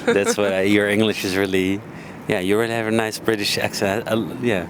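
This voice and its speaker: A man's voice: on the low side, level tone, brisk. A man hosting a podcast, asking questions in a casual, conversational way. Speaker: podcast host. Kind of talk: casual interview chat. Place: outdoors in a city park. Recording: noisy outdoor urban ambience. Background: clear park and traffic noise.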